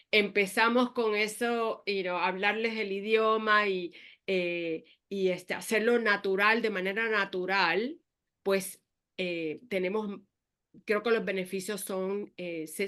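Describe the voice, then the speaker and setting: A woman in her fifties hosting a conversational podcast. Her voice sits at a mid pitch, and she speaks thoughtfully, pausing on hesitations and slipping English fillers into her Spanish. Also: fairly bright tone. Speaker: podcast host. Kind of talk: casual conversational interview.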